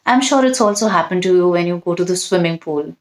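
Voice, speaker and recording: A woman's voice: on the low side, fast. A woman hosting a children's storytime, reading expressively and chatting directly to young listeners.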